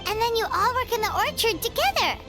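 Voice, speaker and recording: A woman voicing a tiny, childlike, excitable floating fairy companion in a video game, with a squeaky, exclamatory delivery. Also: fairly quick. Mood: curious. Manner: refers to self in third person, exclamatory. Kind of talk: video game character acting. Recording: music playing behind.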